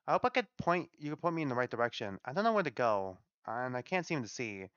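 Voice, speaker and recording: A man's voice: mid-range voice, sing-song, fast talker, fairly bright tone, smooth, no room sound, dull audio. Man voicing a timid, lost dead girl. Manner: timid, apologetic, confused. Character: shy, bewildered, weeping spirit of a dead girl. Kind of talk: dramatic read-aloud of a story.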